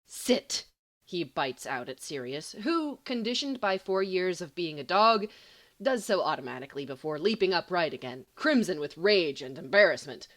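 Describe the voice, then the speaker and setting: A woman reading scripted fiction aloud as an expressive, dramatic storyteller. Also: fairly low pitch, normal speed. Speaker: audiobook reader.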